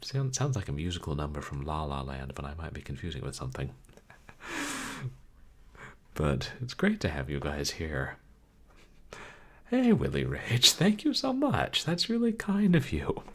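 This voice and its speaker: A man live-streaming a video game, talking calmly and softly, with a rambling, casual flow full of fillers. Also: a bass voice, normal speed, long pauses. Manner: soft-spoken, calm, rambling with fillers.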